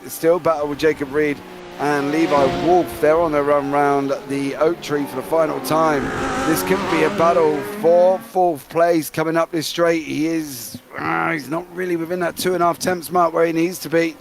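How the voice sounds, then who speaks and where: British male race host, raised gravelly voice, quick excitable play-by-play. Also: a little high, noisy background. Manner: excitable play-by-play, calling names fast. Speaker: race commentator. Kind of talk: live sim racing commentary.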